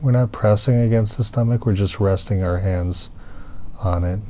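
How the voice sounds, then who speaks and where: A man leading a guided meditation as a teacher, soothing and unhurried, pausing between phrases. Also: lowish voice, dark tone. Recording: muffled, with clear background noise.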